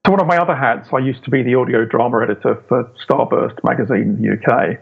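A man's voice: medium pitch, fast talker, gravelly, breathy edge. A man talking casually as an interview guest, heard over a remote connection. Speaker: interview guest, audio drama writer. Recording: tinny phone-like remote call, faint music.

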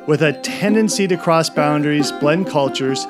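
A podcast host introducing his guest in a measured, deliberate way and asking questions. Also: on the high side, music in the background. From Montana. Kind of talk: interview hosting.

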